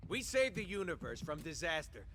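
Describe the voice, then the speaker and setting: An actor playing a cocky, exasperated, wisecracking space rogue hero with a casual, sarcastic manner in a video game. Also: male voice, fairly high pitch, very animated, a little fast, a bit bright, big-room sound, soft background music. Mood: exasperated sarcasm. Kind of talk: video game character acting.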